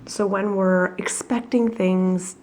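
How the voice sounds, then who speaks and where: A woman with a cold giving a chatty, reflective weekly tarot reading at home, her voice husky and her talk full of fillers. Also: medium pitch, fairly even intonation, slow, darkish tone, a little hiss or hum. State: has a cold, coughing. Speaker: tarot reader.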